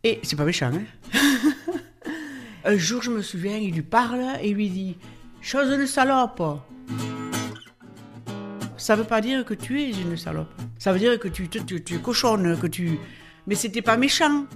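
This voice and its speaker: A woman chatting and telling family anecdotes in a relaxed way. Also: mid-range voice, unhurried, long pauses, soft background music. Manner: chatty, storytelling.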